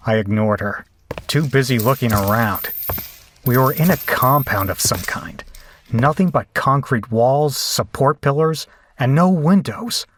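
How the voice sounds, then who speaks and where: A man's voice: mid-range voice, expressive, unhurried, close to an everyday voice. Man acting a rattled young rocker, noisy recording. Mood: shaken narration. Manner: colloquial, incredulous, profane. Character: brash, foul-mouthed young male rocker, rattled captive. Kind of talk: horror audio drama acting and first-person narration.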